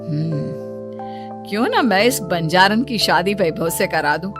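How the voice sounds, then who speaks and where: A woman's voice: lowish voice, animated, a little slow. A woman voicing a bossy, scheming, wealthy mother-in-law in a cartoon story, with a haughty manner. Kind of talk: Hindi cartoon story dialogue. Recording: music behind the voice.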